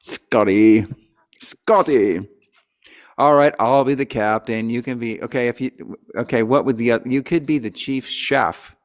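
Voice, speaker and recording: A man hosting a casual podcast interview, playful and quick to riff on jokes. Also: medium pitch. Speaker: podcast host.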